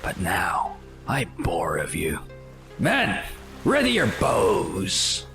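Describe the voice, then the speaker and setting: An actor playing a haughty, menacing ancient sea-raider captain in an audio drama, speaking with slow, deliberate contempt. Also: male voice, low voice, wildly swooping pitch, music in the background, full character voice. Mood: shouted command.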